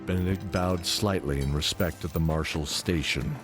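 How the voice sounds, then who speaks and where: A man's voice: sits low, moderate pace. A man narrating a scripted fantasy story as the narrator of an audio drama. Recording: music under the voice.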